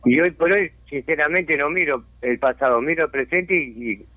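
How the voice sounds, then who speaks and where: A man's voice: a little high, moderate pace, dry recording. A Uruguayan man and settlement spokesman, talking insistently and indignantly in a radio phone interview, often asking if he is understood. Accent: Uruguayan Spanish. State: has a chronic lung disease. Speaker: settlement resident and community spokesman. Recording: dry tinny phone line.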